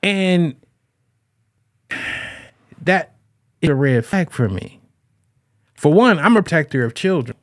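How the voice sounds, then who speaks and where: A man hosting his own podcast as a relationship coach, talking in a casual, animated way. His voice sits a little high, and he keeps restarting and repeating his phrases. The sound is dry and close, with no room around it. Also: takes it slowly, pauses often, no echo. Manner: animated, quotes people in raised voices. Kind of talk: casual monologue.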